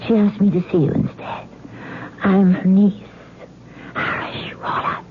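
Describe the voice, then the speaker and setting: A woman as a sultry, slow-talking seductress familiar. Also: mid-range voice, level tone, background noise, lightly acted. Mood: seductive. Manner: sultry, breathy, languid. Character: seductive female cat familiar in human shape. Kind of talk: old-time radio drama acting. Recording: muffled old radio broadcast, music behind.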